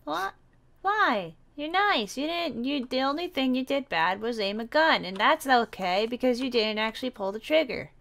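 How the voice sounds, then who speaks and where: A woman's voice: sits high, lively intonation, moderate pace, a bit bright. A woman voicing a naive, blunt, cheerful young girl survivor in an improvised game roleplay, in simple childlike sentences. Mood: warm, earnest.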